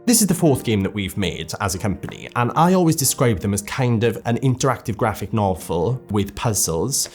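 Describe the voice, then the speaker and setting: A man speaks in an informal interview as a game creator explaining his work. His voice sits on the low side, with soft music underneath.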